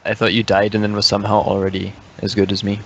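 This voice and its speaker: A man's voice: fairly low pitch, monotone, slight background noise, poor audio. A man teasing his friends while playing an online game together. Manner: teasing, quick. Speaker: gamer, channel regular. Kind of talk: casual gaming banter.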